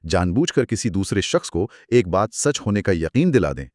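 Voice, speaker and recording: A man with a fairly low voice explains material in a scripted dialogue. His intonation moves widely as he teaches, and the sound is close and dry, free of room echo. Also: big pitch swings, moderate pace, darkish tone. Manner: didactic, explanatory. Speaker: co-presenter explaining law in a lecture.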